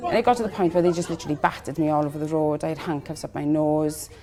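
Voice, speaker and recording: A woman's voice: low voice, steady tone, racing, over a noisy crowd. A woman recounting her experience informally in an interview. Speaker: interviewee, local parent. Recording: faint background ambience noise.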